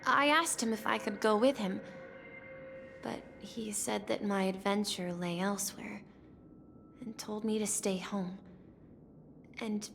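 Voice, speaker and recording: A woman playing a wistful, earnest young boy in a video game story, speaking softly and hesitantly. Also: medium pitch, unhurried, creaky, pauses often, slight background noise. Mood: solemn, reminiscing.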